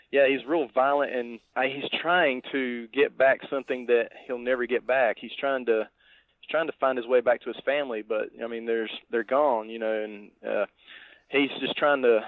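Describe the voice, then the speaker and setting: A man co-hosting a podcast over a tinny line, casually discussing a film in a halting, thinking-aloud way. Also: medium pitch, dry recording. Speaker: podcast co-host. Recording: tinny, dry phone-like line.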